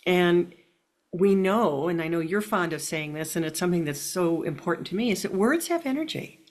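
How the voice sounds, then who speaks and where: Woman, interview host, lowish voice, encouraging, affirming. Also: expressive, moderate pace. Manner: encouraging, with many soft affirmations. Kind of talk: warm affirming interview questions.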